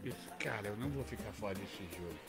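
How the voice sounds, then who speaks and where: A man's voice: on the low side, lively intonation, fast talker, gravelly, over music. A man in his fifties with a southern Brazilian accent telling stories casually on a podcast, emphatic and repetitive. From Criciúma, southern Brazil. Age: 50s. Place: small indoor podcast studio with several people at a table. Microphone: close studio mic on boom arm.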